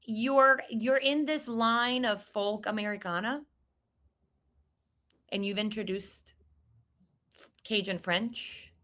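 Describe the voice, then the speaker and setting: A woman's voice: medium pitch, fairly even intonation, slow pace, long pauses, fairly bright tone. A woman from Puerto Rico hosting a podcast, chatting casually and reacting eagerly, sometimes losing her train of thought. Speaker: podcast host. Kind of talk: casual interview chat.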